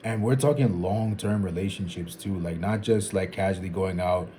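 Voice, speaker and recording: A man in his twenties thinking out loud in a casual, rambling vlog, restarting sentences and dropping "like" and "bro". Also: low voice, moderate pace, a little hiss or hum. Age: 20s. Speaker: vlogger. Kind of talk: casual reflective monologue.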